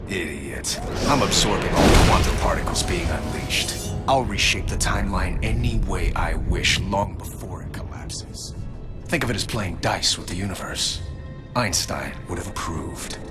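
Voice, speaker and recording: A man's voice: low voice, lively intonation, normal speed. A man playing a cold, arrogant older supervillain executive in a video game, smug and condescending. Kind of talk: video game cutscene acting. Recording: loud music behind the voice.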